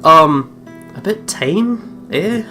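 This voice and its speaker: Let's play host, mid-pitched, informal and giggly, chats and reads aloud with game music behind. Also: expressive, very slow, bright, forceful tone. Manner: giggly, chatty. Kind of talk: casual chat and reading aloud over game. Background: plucked guitar game music.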